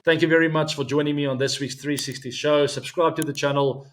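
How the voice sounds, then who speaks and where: A man in his forties with a South African accent, Afrikaans his first language, hosting his own weekly cricket show in a relaxed, chatty way full of ums and asides to viewers. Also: a little high, brisk. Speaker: show host.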